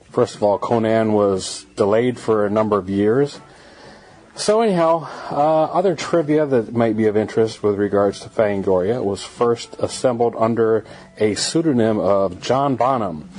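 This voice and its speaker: A man's voice: lowish voice, darkish tone, poor audio. Casual, hesitant male podcast host. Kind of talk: casual solo podcast talk.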